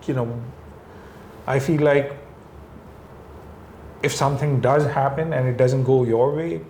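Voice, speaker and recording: A man from Pakistan, a real estate business owner, talking as an interview guest in a reflective, relaxed way. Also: mid-range voice, measured pace, a little hiss or hum. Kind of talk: conversational interview.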